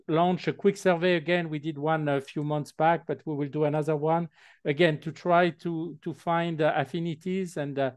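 A man's voice: fairly high pitch, steady tone, moderate pace, clear, resonant tone, no echo, clean studio sound. A man co-hosting an online meeting, talking with many fillers and restarts over a video call. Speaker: meeting co-host.

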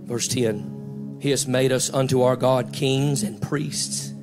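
A man's voice: mid-range voice, level tone, slow pace, over music. A man in his forties with a Southern US accent, preaching a fiery, folksy sermon as pastor on a handheld mic, moving between plain talk and emphatic declarations. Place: large church stage, indoors. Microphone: handheld microphone.

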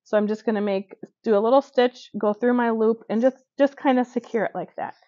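Woman, fairly high voice, stitching lesson, dull dry recording. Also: steady tone, fairly quick, mellow, dry recording. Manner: talks while working, with fillers and small self-corrections. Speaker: stitching instructor. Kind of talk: hands-on craft demonstration. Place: indoors at a table.

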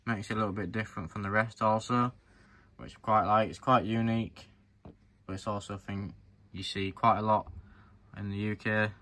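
A man's voice: lowish voice, steady tone, normal speed, sharp tone. A man presenting a hands-on review in an informal, explanatory way, talking directly to viewers. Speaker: presenter of a farm model review channel. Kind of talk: casual hands-on review narration.

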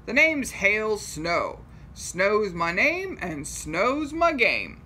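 A woman voicing a gloomy, folksy male ski resort owner in a storybook, grumbling with drawn-out words. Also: medium pitch, big pitch swings, pushed and bright, a little hiss or hum, exaggerated voice.

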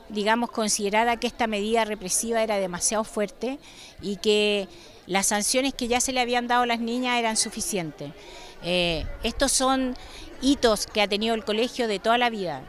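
A middle-aged woman giving a street interview into a handheld mic, speaking earnestly and measured, with pauses. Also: fairly high pitch, a bit bright. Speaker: parent association president. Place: outdoors on a city street. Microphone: handheld reporter mic.

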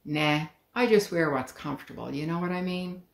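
A woman's voice: low voice, moderate pace, vocal fry. A woman voicing an eccentric, dramatic male shopkeeper who makes prim pronouncements in a storybook reading. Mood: jovial. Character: eccentric theatrical male shopkeeper, middle-aged. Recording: roomy living room.

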